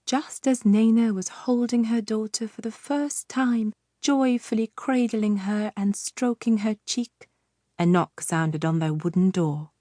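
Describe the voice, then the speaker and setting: A woman narrating a children's fairytale audiobook with measured, expressive storytelling. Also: on the high side, dry recording, studio quality.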